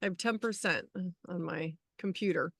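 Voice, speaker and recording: A woman chatting casually as a podcast co-host, halting and looping back through her phrases as she talks. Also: lowish voice, vocal fry, a bit bright, no echo, clean studio sound. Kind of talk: casual conversational chat. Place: outdoors.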